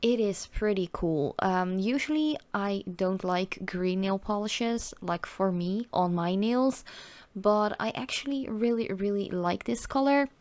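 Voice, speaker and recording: A Dutch woman around thirty, speaking English with a Dutch accent and reviewing nail polish in a relaxed, chatty way full of "like" and "you know." Her voice sits at a middle pitch for a woman. It is recorded close up in a dry room with no echo. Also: a little slow. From Netherlands. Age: 30s. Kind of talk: casual product review.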